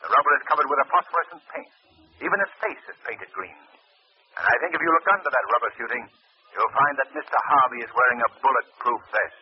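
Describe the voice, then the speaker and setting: Brisk, commanding mystery crime-fighter. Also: male voice, mid-range voice, expressive, husky. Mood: commanding, knowing. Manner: commanding, explanatory. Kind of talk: 1940s radio acting. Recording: dull, noisy old radio recording.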